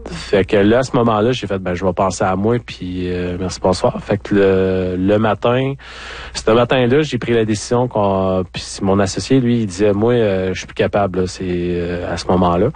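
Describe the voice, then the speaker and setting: A man's voice: sits low, moderate pace, darkish tone, no echo. A man with a Quebec French accent, telling a personal story as an interview guest in a candid, colloquial way. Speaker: interview guest, former farmer.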